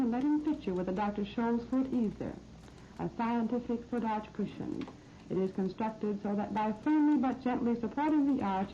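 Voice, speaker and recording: An American woman hosting a scripted 1930s radio shopping program, giving promotional, instructive product talk. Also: medium pitch. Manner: promotional, instructive sales patter. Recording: dull, noisy 1939 radio disc recording.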